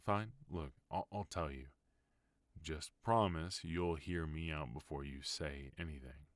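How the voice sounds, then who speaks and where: A man playing a deep, slow mafia boss husband. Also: no room sound. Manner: low, firm, soft-spoken pleading. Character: protective mafia boss husband, stern, tender. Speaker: voice actor. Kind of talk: ASMR roleplay.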